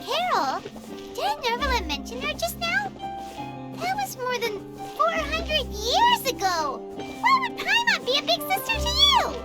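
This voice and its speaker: Squeaky, boastful little fairy sidekick. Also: female voice, huge pitch swings, unhurried, over loud music, full character voice. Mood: startled, suspicious. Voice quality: squeaky, shrill. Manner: exclaims, refers to self in third person. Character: tiny floating fairy companion, childlike, chirpy, boastful. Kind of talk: video game voice acting.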